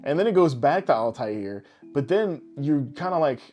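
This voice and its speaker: A man's voice: fairly high pitch, expressive, normal speed, a little mellow. Man bantering over guitar music. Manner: exasperated, profane, emphatic complaining. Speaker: podcast host. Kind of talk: casual podcast banter. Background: guitar music behind the voice.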